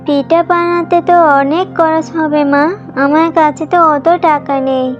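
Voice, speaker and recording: High, gentle, poor cartoon mother played by a woman. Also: fairly even intonation, moderate pace, lightly acted. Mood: tender, worried. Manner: gentle, pleading. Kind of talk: children's cartoon voice acting. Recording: dull lo-fi audio, music behind. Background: background music.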